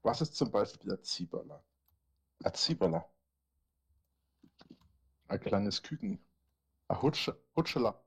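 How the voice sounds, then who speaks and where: A man's voice: mid-range voice, expressive, slow pace, gravelly, pauses often, husky. A man with a Franconian accent, rambling in casual chat as he quizzes listeners on dialect words he answers himself. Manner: rambling, asks and answers his own quiz questions.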